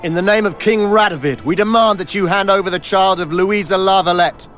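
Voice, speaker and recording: An actor playing an officious, threatening royal soldier in a video game, with a commanding, declamatory delivery. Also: male voice, high-pitched, a little fast, a bit bright, faint music behind. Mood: menacing.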